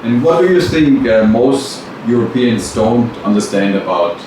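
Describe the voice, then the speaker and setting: A man's voice: medium pitch, measured pace. A man whose first language is German, asking short questions in a casual interview and giving brief replies. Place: office with large desk. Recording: echoey room, distant from mic, noise.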